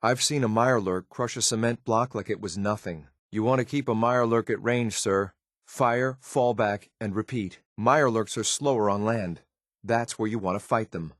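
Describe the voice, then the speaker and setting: A man's voice: fairly low pitch, moderate pace, no echo, studio quality, close to an everyday voice. A man voicing a stern, dutiful synth soldier companion in a video game, speaking in a clipped, matter-of-fact military way with some disdain. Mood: watchful, tactical.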